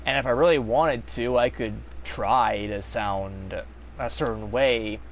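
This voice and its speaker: A young man vlogging in a casual, confessional monologue, rambling with many fillers and restarts, his voice slightly raspy. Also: mid-range voice, takes it slowly, a little hiss or hum. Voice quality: slightly raspy when talking long.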